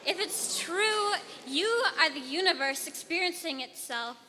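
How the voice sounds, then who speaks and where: A woman's voice: very high-pitched, animated, unhurried, pushed and bright, slight background noise. A teenage woman performing spoken word poetry with rhythmic, emphatic delivery on a stage. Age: teens. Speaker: slam poet. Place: auditorium stage. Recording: reverberant hall.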